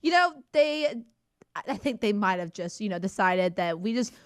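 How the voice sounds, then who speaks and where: A woman's voice: medium pitch, lively intonation, normal speed, clean tone. A young woman, an intern, chatting playfully on a radio show and laughing often. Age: young adult. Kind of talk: casual chat. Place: radio studio.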